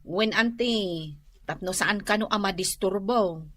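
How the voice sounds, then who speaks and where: A woman acting a suspicious, nagging wife in Ilocano radio drama, with a drawn-out, questioning manner. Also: medium pitch, a bit bright. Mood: curious, accepting. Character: suspicious, nagging wife keeping watch on her husband.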